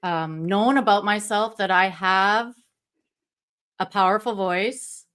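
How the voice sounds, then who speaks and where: A woman's voice: medium pitch, takes it slowly, a bit bright, clear, resonant tone. A woman hosting a livestream interview, enthusiastic and reflective in casual talk over a video call. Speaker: stream host.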